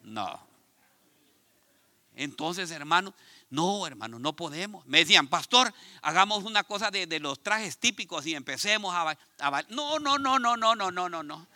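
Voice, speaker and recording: A man from Ecuador with an Ecuadorian Spanish accent, preaching a sermon in an animated, conversational way and speaking straight to his congregation. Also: high-pitched, moderate pace, no room sound. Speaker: pastor. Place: church.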